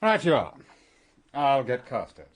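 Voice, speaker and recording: A man's voice: medium pitch, huge pitch swings, measured pace, heavy background noise. A man playing an offhand, polite young upper-class man in a radio mystery. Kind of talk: 1920s country-house radio mystery acting.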